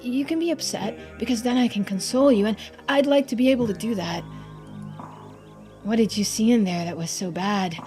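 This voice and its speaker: A woman's voice: fairly high pitch, normal speed, darkish tone, faint music behind, lightly acted. A woman voicing an unstable half-human young man, a coaxing, possessive monster boyfriend in a story game. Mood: soothing, insistent.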